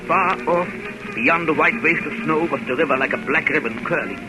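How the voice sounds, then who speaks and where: Loud music swells under an old radio recording of a man playing an older vampire hunter. He narrates in a fairly high male voice, declamatory and urgent, as a dramatic witness. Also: moderate pace, close to an everyday voice, rough recording. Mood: grave, descriptive. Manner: breathless, declamatory storytelling.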